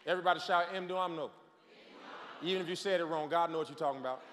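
A man in his forties, a pastor, preaching a sermon on a large church stage with a handheld microphone, shouting and calling on the crowd to respond. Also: a little high, racing, slight background noise. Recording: long hall reverb.

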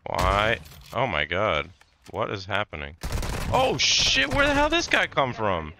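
A man hosting casual gameplay commentary, raspy and hoarse from a cold, laid-back with startled outbursts. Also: on the low side, wildly swooping pitch, a little slow, noisy background. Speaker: gaming channel host.

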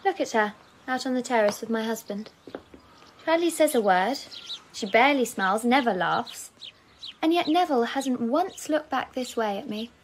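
An actress playing a jealous, petulant young wife in a radio drama. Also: female voice, fairly high pitch, animated, moderate pace, a little hiss or hum. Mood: jealous, catty. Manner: petulant, cutting. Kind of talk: British radio drama acting.

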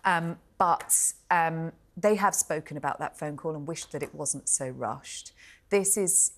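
British woman royal pundit on tv, low voice, articulate and measured. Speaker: royal editor, studio pundit. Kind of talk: live broadcast review and commentary. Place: television studio.

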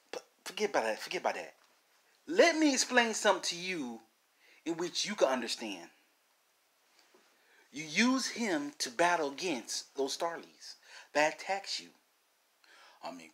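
Animated man rambling about video games. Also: medium pitch, husky. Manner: theatrical, repeats phrases, addresses viewers directly. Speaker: video game commentator. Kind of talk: rambling video game commentary.

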